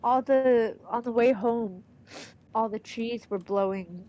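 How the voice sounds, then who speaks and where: A woman's voice: a little high, slow, low-quality recording. A woman streaming a game with a stuffy nose from allergies, chatting casually and reading the game's lines aloud. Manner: excitable, reads game text aloud. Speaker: let's play streamer.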